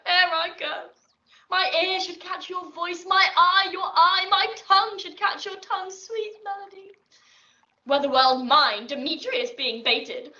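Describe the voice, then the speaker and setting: A lovesick young woman with a very high, piercing voice, envious and plaintive. She draws out her Shakespearean verse theatrically. The audio drama sounds muffled and distant. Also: sharp tone, exaggerated voice.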